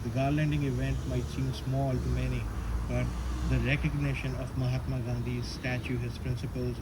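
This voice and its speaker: Man hosting a ceremony over noisy ambience. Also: mid-range voice. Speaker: ceremony host. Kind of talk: informal tribute speech. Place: live ceremony at a statue. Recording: live event with street and ambient noise.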